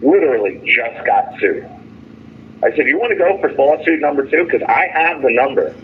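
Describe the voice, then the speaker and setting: A man's voice: medium pitch, animated, slight background noise. Indignant man on a tinny phone line. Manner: halting, repetitive, with stumbling restarts; indignant, emphatic storytelling with quoted dialogue. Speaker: detainee calling in from jail. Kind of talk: unscripted grievance monologue over the phone. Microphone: telephone.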